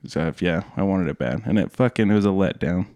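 A man's voice: low-pitched, animated, fast talker, a little mellow, dry recording. A young man telling rambling stories in casual chat, laughing and swearing, tired from staying up all night.

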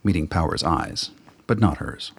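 Very deep, gravelly male narrator. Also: expressive. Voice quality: gravelly, husky. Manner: measured, storytelling. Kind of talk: dramatic audio-drama narration.

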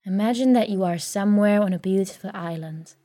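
A woman leading a guided sleep meditation in a calm, soothing, gentle way, leaving long pauses between phrases. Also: medium pitch, no echo. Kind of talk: guided sleep meditation, soft scripted narration.

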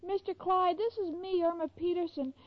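A woman's voice: very high-pitched, steady tone, moderate pace. An actress playing an innocent, ditzy young woman in a 1940s radio sitcom, with a naive, plaintive manner. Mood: earnest, naive. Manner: naive, literal-minded, plaintive. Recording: dull, noisy 1940s radio recording.

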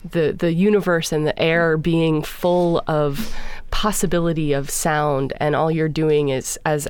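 Low-voiced woman, thoughtful and searching. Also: clean tone, no echo. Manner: thoughtful, searching for words with many fillers. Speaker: singer. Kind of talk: interview conversation.

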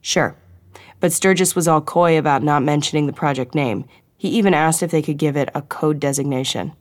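Woman playing a wry, low-voiced female spy. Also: no echo, lightly acted. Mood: eager, conspiratorial. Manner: brisk, wry, holding back amusement. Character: wry, eager young female spy on assignment.

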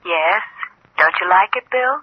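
Soft, smooth femme fatale. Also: female voice, lowish voice, animated, a little slow. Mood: coolly alluring. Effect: telephone voice. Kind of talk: 1940s radio drama acting. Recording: dull, faintly noisy 1940s radio recording.

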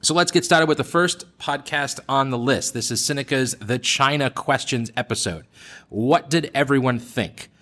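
A man's voice: mid-range voice, normal speed, bright, forceful tone. A man hosting a casual group discussion in an informal, conversational way. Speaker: host of a podcast discussion group. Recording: roomy echo, faint background noise.

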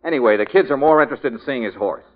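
A male actor's hard-boiled American fixer, in 1940s radio style. He sounds in command and a little gruff, with lively, swinging intonation. The recording is dull and muffled. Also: mid-range voice, racing, lightly acted. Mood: brisk, dismissive.

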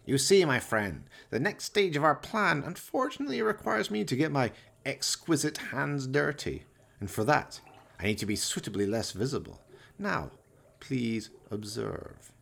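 An actor playing a vain, pompous, scheming mad scientist villain in a radio play, with florid, theatrical, grandiose delivery. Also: male voice, mid-range voice, very animated, moderate pace, vocal fry, fairly bright tone, heavily acted. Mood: smug, lecturing.

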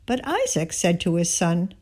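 A woman giving a scripted Bible reading, narrating and voicing the dialogue in deliberate, measured phrasing. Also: fairly low pitch, wildly swooping pitch, darkish tone, breathy edge. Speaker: scripture reader.

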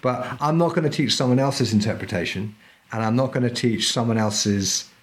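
A man's voice: mid-range voice, moderate pace. Chatty, emphatic man as podcast guest. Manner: chatty and emphatic, often tags "right?". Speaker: podcast guest, historical fencing instructor. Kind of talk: casual interview chat.

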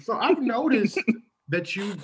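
A man's voice: very high-pitched, big pitch swings, takes it slowly, slight background noise. A man acting out improvised comedy scenes in a casual, conversational style.

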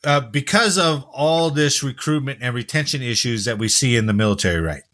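A man from New Jersey hosting a casual news chat, jokey and quick to laugh. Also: medium pitch, animated, a bit bright. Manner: jokey, laughs often, tells stories. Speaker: podcast host.

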